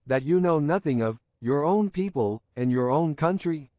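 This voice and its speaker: A man's voice: fairly high pitch, lively intonation, normal speed, dark tone, dry recording, lightly acted. Man reading as an insistent, teasing young woman. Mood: pressing. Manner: insistent, pressing questions.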